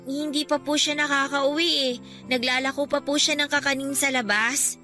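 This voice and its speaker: Actress for a dutiful little girl in radio drama, high-pitched, meek and pleading, over clear music. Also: steady tone, normal speed, pushed and bright. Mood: polite, anxious. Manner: polite, pleading.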